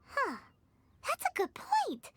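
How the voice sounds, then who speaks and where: A woman's voice: very high voice, huge pitch swings, takes it slowly, very noisy, heavily acted. A woman voicing a small, childlike fairy girl, chirpy and inquisitive, in an anime-style video game. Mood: pondering. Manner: chirpy, inquisitive, refers to self in third person.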